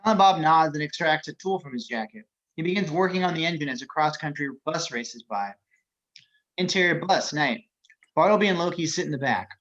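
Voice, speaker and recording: A man's voice: fairly high pitch, expressive, brisk, a bit bright, muffled recording. A man reading out the action descriptions of a screenplay as scripted narration.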